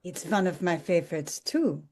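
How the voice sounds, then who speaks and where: A woman's voice: on the low side, lively intonation, moderate pace, a little mellow. A woman playing a sweet, wholesome Austrian widow in her seventies who keeps a shop, in a romcom table read. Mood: proud, fond.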